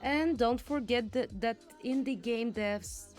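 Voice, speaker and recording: Higher-voiced woman co-hosting over music. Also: slow pace, darkish tone. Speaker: co-host. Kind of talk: podcast interviewing.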